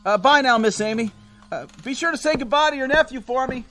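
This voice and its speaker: A man's voice: very high voice, fast talker, sharp tone, soft background music. A man playing a frightened small-town grocery delivery man in an audio drama, stammering and nervously agreeing. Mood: uneasy.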